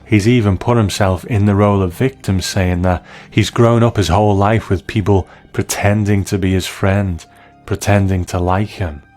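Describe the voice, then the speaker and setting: A man's voice: lowish voice, fairly even intonation, moderate pace, darkish tone, soft background music. A man delivering scripted commentary as the narrator of a review.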